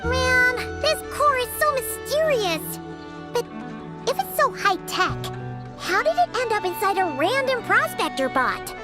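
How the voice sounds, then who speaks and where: A woman voicing a tiny, childlike fairy companion in a video game, squeaky, wide-eyed and inquisitive. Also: very animated, measured pace, loud music behind the voice, full character voice. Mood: curious.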